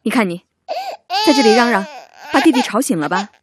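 A woman's voice: fairly high pitch, lively intonation, a little fast, a loud crowd, lightly acted. A woman acting in a radio drama as a busy mother of two, chiding but caring, with an admonishing maternal manner. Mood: scolding. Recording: music bed under the voice.

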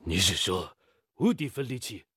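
An actor playing a proud Japanese steel company engineer in a radio drama, speaking formally and with haughty emphasis. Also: male voice, lowish voice, very animated, moderate pace, pushed and bright, slightly rough, no room sound, studio quality, heavily acted. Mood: haughty, dismissive.

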